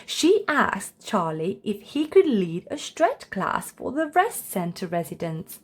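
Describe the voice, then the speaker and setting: A woman's voice: mid-range voice, sing-song, slow, slightly rough. A woman storyteller reading a children's book aloud as scripted narration.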